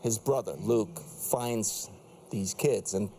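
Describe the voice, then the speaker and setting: Male Danish-native actor guest, mellow tone, rambling restarts, faint noise behind. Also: fairly low pitch, slow. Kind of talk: casual chat.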